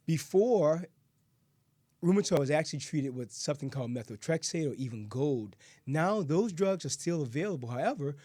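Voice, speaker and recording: A man's voice: mid-range voice, a little mellow, no echo. A man hosting a health podcast as a physician, explaining medical topics in a conversational way and sometimes correcting himself mid-sentence. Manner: explanatory, with frequent self-corrections. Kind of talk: health talk and interview.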